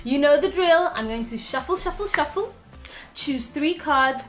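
A young woman presenting a children's lesson, animated and encouraging, speaking clearly for small children. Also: sits high, unhurried, soft background music. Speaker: early years teacher and host. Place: small room with plain walls, indoors.

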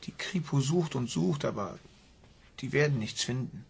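A man playing an earnest, intense son absorbed in a game, husky and creaky, in a German radio drama. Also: mid-range voice, expressive, moderate pace, heavily acted. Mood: urgent, pleading.

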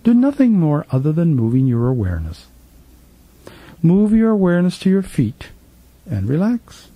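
A man leading a guided meditation as a spiritual teacher, gently instructing in a soothing, repetitive manner with trailing phrase endings, recorded in a studio. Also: fairly high pitch, very animated, moderate pace, dry recording. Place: professional studio.

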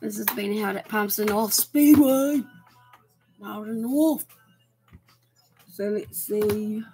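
A woman's voice: mid-range voice, slow, over music. A woman giving casual, eager commentary on a racing game and building hype with questions. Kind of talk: casual hobby race commentary.

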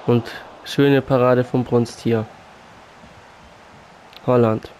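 A man with a cold and a sore throat, calmly commentating his own football video game match. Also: medium pitch, drawn-out words, slight background noise, low-quality recording. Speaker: gaming YouTuber. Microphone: USB condenser mic. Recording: faint game music behind.

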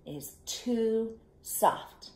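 A woman voicing a picky little storybook girl with drawn-out, exaggerated delivery, in an echoey room. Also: a little high, lively intonation, a little mellow, pitched above the performer's own voice. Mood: fussy.

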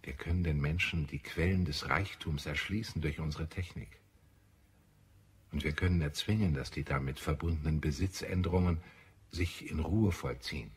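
Ein Schauspieler spielt in einem deutschen Hörspiel einen ernsten, idealistischen Marsianer, der getragen und deklamierend spricht. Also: male voice, a bass voice, slightly rough, heavily acted. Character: earnest, idealistic Martian man, visionary and grave. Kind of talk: German radio play acting.

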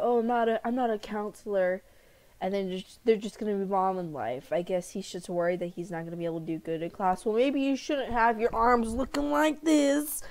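A woman's voice: medium pitch, a little mellow. A young woman casually commenting on a game at her desk, blunt and teasing, full of slang.

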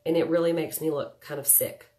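A woman's voice: sits low, steady tone, fairly quick, mellow. A woman in her thirties with a nasal voice from a cold, chatting casually with viewers while doing her makeup at home. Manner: chatty, joking, greets viewers by name. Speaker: makeup livestream host. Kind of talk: casual live makeup tutorial chat. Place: small home room indoors.

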